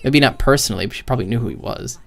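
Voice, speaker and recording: A man with a mid-pitched voice talks as a streamer reacting to game cutscenes. He is blunt and opinionated, swears freely, and speaks casually and off the cuff. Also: very fast, vocal fry, fairly bright tone. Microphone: close mic with gain set very high.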